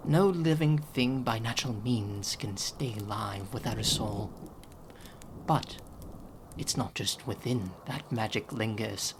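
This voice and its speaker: Guy doing a fancy owl demon prince, slow whispery asmr talk, theatrical, mid pitch. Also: a little hiss or hum. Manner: soft-spoken, theatrical, drifting into whispers. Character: regal male owl demon prince, gentle and grandiose. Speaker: voice actor in fan audio roleplay. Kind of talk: ASMR audio roleplay.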